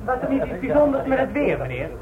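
An eager young police trainee in a radio drama, polite and deferential to his superior. He talks very quickly in a high-pitched voice, sometimes hesitant, on a dull, noisy recording. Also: sing-song, a crowd in the background. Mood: eager, inquiring. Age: young adult. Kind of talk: radio play acting. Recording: muffled, noisy old radio play recording.

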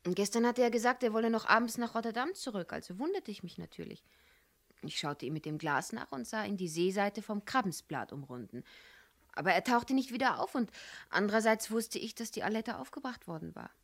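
A woman's voice: mid-range voice, lively intonation, brisk, no room sound. An actress playing an earnest, determined young woman in a German radio crime drama, speaking intimately and insistently. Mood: matter-of-fact, guarded.

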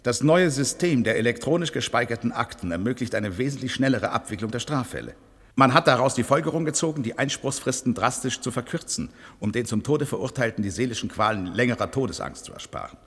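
An actor in a German radio play playing a resigned, bitter judge who speaks formally and with emphatic, measured delivery. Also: male voice, mid-range voice, lively intonation, fairly bright tone, slightly rough. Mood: bitter irony. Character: resigned judge, candid and bitter about computerized justice. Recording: reverberant hall sound.

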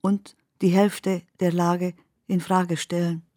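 A woman who recites surreal radio-drama poetry in a lowish voice. Her phrasing is measured and very slow, and the sound is dry, like a close studio booth. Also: little pitch movement, warm tone, no echo. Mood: measured, incantatory. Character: woman narrating surreal, dreamlike summer memories.

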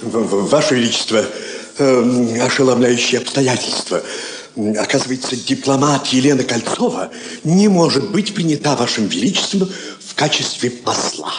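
Man playing a flustered servile courtier, high-strung intonation. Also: animated, normal speed, natural voice. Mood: shocked. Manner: stammering, obsequious, anxious, formal. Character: flustered, servile royal minister or adviser. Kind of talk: classic Russian stage radio drama acting. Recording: noisy, echoey old theatre recording.

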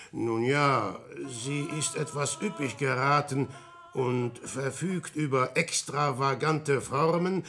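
An actor playing a boastful, lecherous adventurer posing as a prophet in a verse radio play, declaiming theatrically between musing and crowing. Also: male voice, medium pitch, fairly bright tone. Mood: lecherous, wheedling.

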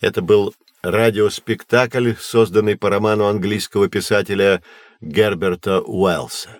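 An actor plays a knowledgeable man guiding children through astronomy in an audio drama. His voice sits fairly low and is recorded very dry. He explains things patiently, in measured, reassuring phrases. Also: fairly even intonation, dry recording, close to an everyday voice. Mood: explanatory, patient. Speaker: teacher-guide in educational audio drama.

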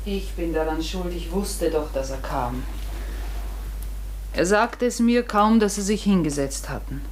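An actress playing a middle-aged farmer's wife in a German radio drama, anxious and secretive, with a husky, hushed and halting delivery. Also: female voice, lowish voice, animated, slight background noise. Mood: guilty, grave.

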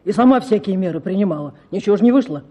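A man's voice: sits high, animated, rapid-fire, slightly rough, muffled recording. An actor playing a domineering, pious provincial noblewoman in a classic radio drama. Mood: complaining. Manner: domineering, lecturing, mocking laugh. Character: bossy pious provincial noblewoman, middle-aged.

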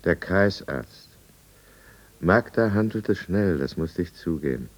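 An actor playing a remorseful drinker narrating his own downfall in a 1950s German radio drama, measured and brooding. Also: sits low, expressive, exaggerated voice. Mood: confessional, tense. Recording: dull, muffled 1950s radio recording.

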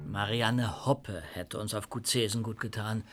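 An actor in a radio drama playing a proud, tradition-minded musician who speaks earnestly and with mild scorn. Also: male voice, lowish voice, fairly even intonation, measured pace, loud music behind the voice, natural voice. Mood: scornful.

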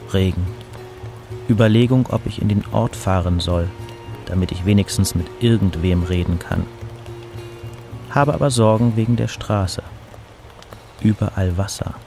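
Burnt-out male poet for radio drama, melancholy and wry, lowish, unhurried delivery. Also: gravelly. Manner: resigned, dry, hesitant. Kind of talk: naturalistic radio drama dialogue and diary narration.

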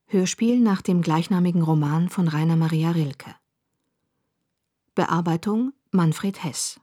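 A woman announcer reading scripted radio play credits in a measured, sober way. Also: on the low side, mellow, slightly rough, no room sound, clean studio sound.

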